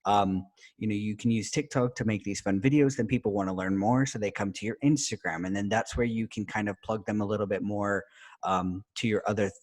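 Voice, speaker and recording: Chatty middle-aged man, low voice. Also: fairly even intonation, a little fast. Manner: enthusiastic, chatty, many fillers like "like" and "you know". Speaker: podcast host. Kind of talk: casual video interview.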